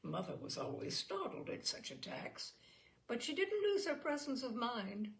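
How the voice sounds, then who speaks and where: A woman reading a literary short story aloud as a storyteller, in an animated, theatrical manner. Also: on the high side, moderate pace, creaky.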